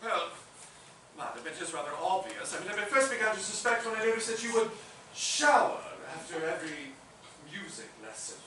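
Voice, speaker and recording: A woman's voice: medium pitch, animated, a little fast, natural voice. An actress playing an exasperated, panicky woman in a murder-mystery stage comedy, speaking emphatically. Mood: dry. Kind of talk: comic stage acting at a staged reading. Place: theatre stage, recorded from audience distance. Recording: echoey theatre, distant mic, loud noise.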